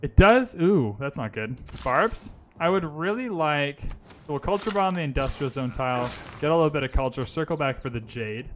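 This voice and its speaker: A man with a bright, forceful voice pitched a little high talks through a strategy game in a live stream. He thinks his moves aloud in a loose, chatty way and breaks off to answer viewers. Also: animated, moderate pace, few pauses, slight background noise. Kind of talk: casual gaming commentary. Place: lives in Vancouver.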